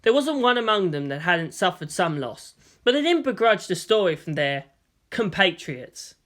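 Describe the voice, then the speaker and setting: A narrator reads fiction aloud in a medium-pitched voice. The delivery is expressive, with melodic rises and falls from phrase to phrase. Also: normal speed. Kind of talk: expressive fan fiction reading.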